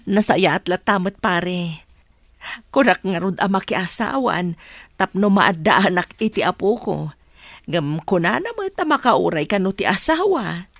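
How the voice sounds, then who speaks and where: A woman playing a worried, loving middle-aged mother in a Filipino radio drama, with a concerned, gently lamenting manner. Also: mid-range voice, expressive, a little slow, no echo, clean studio sound.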